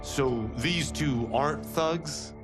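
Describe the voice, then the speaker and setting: A man playing a brash, eager young fighter in a video game, cocky and quick to show disbelief. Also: fairly high pitch, over music. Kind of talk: scripted video game cutscene acting.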